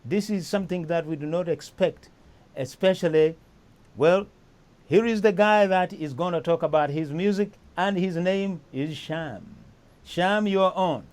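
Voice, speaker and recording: A man's voice: sits high, unhurried, a little mellow. Eager man hosting a chat. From East Africa. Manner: enthusiastic, talkative, repeats himself. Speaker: host. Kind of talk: casual chat.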